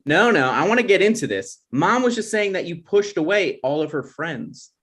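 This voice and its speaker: A man's voice: high voice, big pitch swings, moderate pace, a bit bright, breathy edge, full character voice. Man acting a defensive, argumentative grown son. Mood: testy. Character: touchy, argumentative adult son and awkward host. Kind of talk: comedy script table read.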